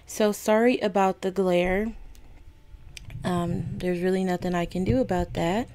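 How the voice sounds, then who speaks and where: Chatty, slightly raspy woman, slow talker. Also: on the low side, slight background noise. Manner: chatty, apologetic, easily excited. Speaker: podcast host. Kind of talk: casual chat to camera. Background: coffee maker running.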